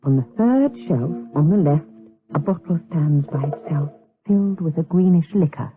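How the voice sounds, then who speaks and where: An actress playing a cool, scheming temptress in a gothic radio drama, measured, insinuating and commanding. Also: female voice, sits low, animated, music in the background. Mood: instructing. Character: cool, scheming temptress coaxing a monk to evil. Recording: tinny, phone-like radio drama recording.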